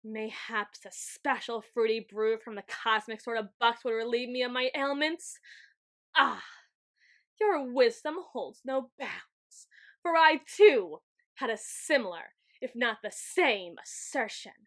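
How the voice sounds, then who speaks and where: A woman's voice: a little high, unhurried, fairly bright tone. A woman playing a grandiose, weakened overlord of shadows in an ASMR roleplay, with pompous, flowery, melodramatic delivery. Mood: pompous lament. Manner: pompous, archaic flowery phrasing. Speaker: ASMR roleplay audio performer. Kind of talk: ASMR roleplay, theatrical scripted monologue.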